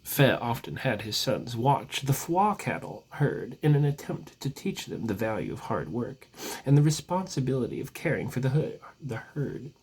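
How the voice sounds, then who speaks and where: A man with a husky voice reads a storybook legend aloud as narrator, stretching words with theatrical emphasis. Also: medium pitch, sing-song, moderate pace, darkish tone, low-quality recording.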